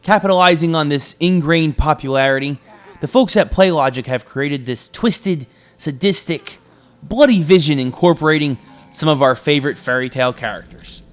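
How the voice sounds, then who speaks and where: A man's voice: on the high side, normal speed. A man narrates a scripted video game review in an emphatic presenter's delivery. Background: background music.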